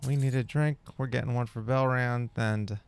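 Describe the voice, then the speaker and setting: A man's voice: mid-range voice, fairly even intonation, moderate pace, clean tone. A man hosting a gameplay video, giving casual, rambling, laid-back commentary in character.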